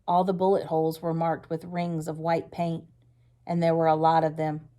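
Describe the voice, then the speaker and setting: A woman narrating a story aloud in an expressive read-aloud style. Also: deep voice, normal speed.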